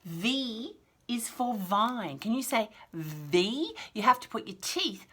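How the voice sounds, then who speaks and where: A woman hosts a read-aloud lesson for very young children. Her delivery is sing-song and highly varied in pitch, with exaggerated enunciation. She is encouraging and slips into chatty asides as she explains things. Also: sing-song, slow pace, bright, forceful tone, husky. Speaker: children's storyteller and host.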